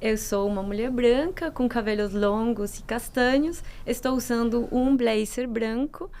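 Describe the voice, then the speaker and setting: A woman from Colombia with a Colombian Spanish accent, moderating a videocast panel with measured introductions. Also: a little high, a little mellow.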